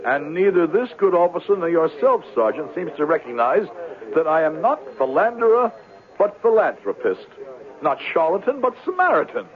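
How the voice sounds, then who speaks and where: An actor playing a glib, irrepressible swindler posing as a healer, pompous and florid, in 1970s radio drama. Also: male voice, a little high, very animated, normal speed. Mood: lofty protest. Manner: grandiloquent, florid, indignant. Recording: narrow-band old radio broadcast.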